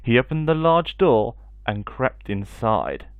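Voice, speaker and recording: A man's voice: mid-range voice, expressive, slow, no echo, narrow, tinny audio. Man reading a children's story.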